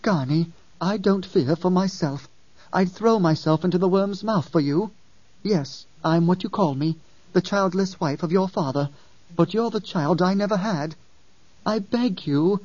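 An earnest, distressed woman who begs and pleads for the child in her care, as a male narrator raises his pitch for her. The sound is dull and set back from the mic, dry with almost no reverb. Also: normal speed, a little mellow, close to an everyday voice. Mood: pleading distress. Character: anxious devoted adult woman, a guardian.

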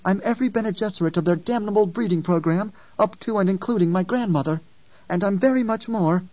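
A man's voice: high-pitched, brisk, darkish tone, no room sound, pitched above the performer's own voice, close to an everyday voice. Man voicing a fierce young girl, old beyond her years. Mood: anguished intensity. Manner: intense, grave, sometimes pleading. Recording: dull, distant, dry sound.

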